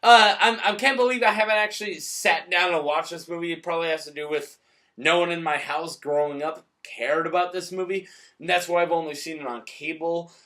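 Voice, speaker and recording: Drunk guy chatting about movies, bright high voice, sweary and all over the place. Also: fairly quick. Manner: excitable, rambling, emphatic, swears freely. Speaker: movie review host. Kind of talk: casual profane movie chat.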